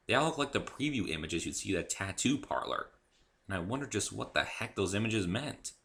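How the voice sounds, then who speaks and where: A man with a lowish, very bright and forceful voice reads a story aloud as an amateur narrator. His intonation is lively, and he leans deliberately on key words in a dramatic first-person telling.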